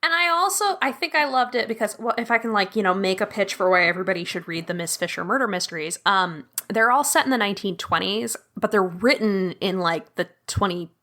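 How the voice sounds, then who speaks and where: A woman with a bright voice and mid pitch, chatting as a podcast guest. She sounds bubbly, laughs easily and fills her talk with fillers. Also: lively intonation, fairly quick, hardly any pauses. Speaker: podcast guest, audio drama producer. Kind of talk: casual chat.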